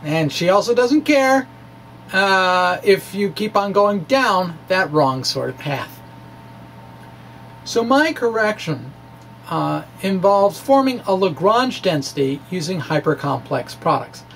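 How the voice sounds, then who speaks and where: A man giving a dramatic explanatory reading as a presenter, halting and hesitant, with emphatic turns. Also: high-pitched, sing-song, fairly bright tone, a little hiss or hum, low-quality recording. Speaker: amateur physicist presenting his blog. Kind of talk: dramatic reading of a physics blog, explanatory talk.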